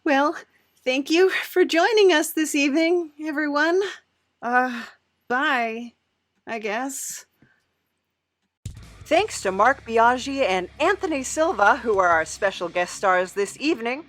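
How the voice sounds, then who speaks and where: A woman's voice: high-pitched, takes it slowly, a bit bright, dry recording. A woman hosting a podcast in a playful, casual style. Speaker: podcast host. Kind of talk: playful character interview and casual sign-off.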